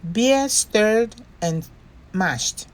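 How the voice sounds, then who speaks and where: Female storytime narrator: very slow, animated picture-book read-aloud, mid-range pitch. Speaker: storytime host reading a children's book.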